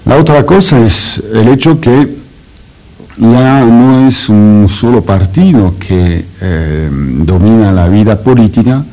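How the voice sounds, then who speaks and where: A man with a French accent answering interview questions in careful, halting speech full of hesitations and restarts. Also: on the low side, warm tone, poor audio. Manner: slow, halting with many "eh" fillers and restarts. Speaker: interview guest, French academic.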